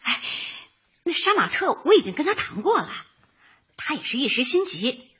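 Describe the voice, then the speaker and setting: A woman's voice: high voice, big pitch swings, moderate pace, fairly bright tone. An actress playing an earnest, cheerful young woman who runs a community office, coaxing tactfully in a light radio comedy. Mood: soothing, concerned. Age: young adult. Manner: eager, coaxing, speechifying in slogans. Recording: dull lo-fi audio with music behind.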